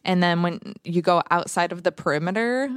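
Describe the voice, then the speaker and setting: Woman, casual host, lower voice, smooth and clear, dry close mic, thoughtful and halting. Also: no echo. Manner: thoughtful, frequent fillers and restarts. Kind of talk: casual conversation.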